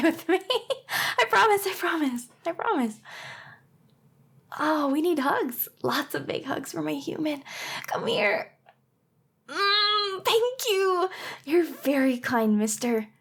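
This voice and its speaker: A woman voicing a young, clingy, lovesick harpy girl in an anime ASMR roleplay, with a breathy, often whispery voice and a hesitant, earnest delivery. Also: sits high, heavily acted. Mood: overjoyed, gushing. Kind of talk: anime ASMR roleplay acting, intimate address to listener.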